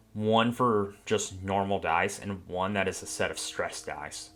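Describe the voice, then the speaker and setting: A man giving a scripted review narration as a tabletop game reviewer, with a measured, explanatory delivery. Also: low-pitched, fairly bright tone. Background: background music.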